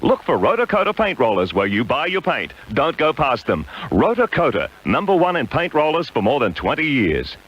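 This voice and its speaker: A man's voice: a little high, wildly swooping pitch, moderate pace, few pauses, a bit bright, lo-fi sound. A man reading an upbeat scripted commercial on an old radio broadcast. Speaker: advertisement announcer.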